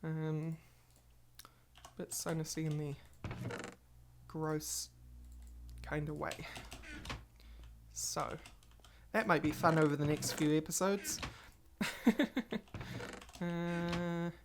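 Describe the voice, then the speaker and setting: A woman with a low voice talks viewers through her game session in a loose, rambling way. She sounds a little stuffy with a cold. Keyboard typing clicks in the background. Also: flat delivery, very slow, pauses often, background noise. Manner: chatty, rambling, talks to viewers while playing. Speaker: gaming channel host. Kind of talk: casual Let's Play commentary.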